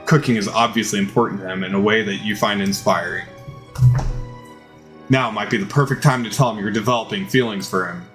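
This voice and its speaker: A man's voice: fairly low pitch, fairly even intonation, fairly quick, fairly bright tone. A man narrates a dating simulator playthrough, reading the on-screen story aloud. His delivery is theatrical and dramatized, and music plays underneath.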